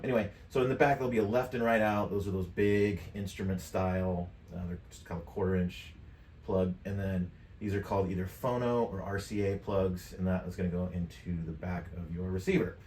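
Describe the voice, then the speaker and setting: A man's voice: deep voice, a little mellow. A middle-aged American man giving a casual tutorial demonstration. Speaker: tutorial presenter. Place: furnished game room.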